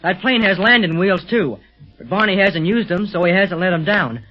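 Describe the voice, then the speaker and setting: A man's voice: high-pitched, normal speed, faint music behind. An actor playing an earnest teenage boy aviation enthusiast in a 1930s radio adventure serial, boyish and slangy. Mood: worried. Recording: muffled 1930s radio recording.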